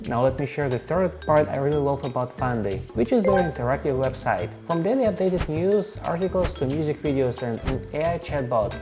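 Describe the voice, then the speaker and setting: A man hosting a street interview, asking quick questions and nudging the guest along with short replies. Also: on the high side, animated, music in the background. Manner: brisk, eager interviewer with short back-channel replies. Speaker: host. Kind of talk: street interview and sponsor read.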